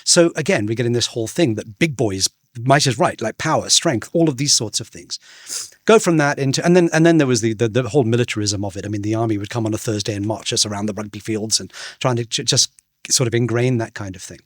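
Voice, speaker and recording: A man in his fifties who grew up in Belgium, talking as a guest in an interview, telling stories with lively leaps in pitch and lots of quick restarts. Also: no echo, studio quality. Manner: animated storyteller, frequent restarts, pitch jumps for emphasis. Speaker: guest, culture author. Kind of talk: conversational interview storytelling.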